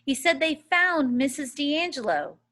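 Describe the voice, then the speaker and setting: A worried mother, voiced by a woman reading a novel aloud, serious and caring. She speaks with grave concern, her pitch often a little raised above plain narration. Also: lively intonation, unhurried, pushed and bright, heavily acted.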